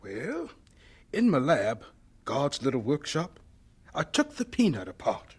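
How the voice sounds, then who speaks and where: An actor playing a humble elderly American scientist in a radio drama, speaking mildly and hesitantly with a husky voice. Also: male voice, medium pitch, wildly swooping pitch, heavily acted. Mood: gentle, reminiscing. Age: older. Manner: mild, hesitant, unhurried.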